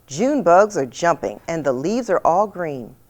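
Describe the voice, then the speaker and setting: A woman's voice: lowish voice, very animated, a little slow, a little mellow. A woman voicing a curious, puzzled young girl in a children's storybook, full of questions.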